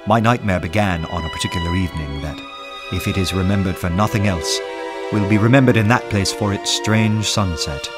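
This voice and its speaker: An actor playing an earnest, respectable poet of law and order in a radio play, speaking with literary rhetoric. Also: male voice, sits low, natural voice. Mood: reflective storytelling. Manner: measured when narrating, fervent and rhetorical in debate. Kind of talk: radio drama narration and acting. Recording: music bed under the voice.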